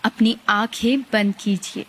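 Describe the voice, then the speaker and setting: A woman guides a meditation, giving calm, gentle instructions with long pauses. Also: fairly high pitch, slight background noise.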